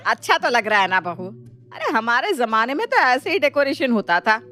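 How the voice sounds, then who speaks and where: Bright, fussing old-fashioned mother-in-law played by a woman. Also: fairly high pitch, very animated, normal speed, faint music behind. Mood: naive, pleased. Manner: scolding, fretful, bossy. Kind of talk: animated Hindi moral story acting.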